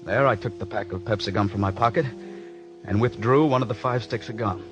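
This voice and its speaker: A man plays a cold, scheming writer in an old radio crime play. He speaks in a measured, deliberate way, polite on the surface and murderous underneath. Music sits faintly behind him. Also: lowish voice, dull audio, lightly acted. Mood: cold, calculating. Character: coldly calculating scriptwriter plotting murder, narrating his crime. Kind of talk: 1940s radio acting with first-person narration.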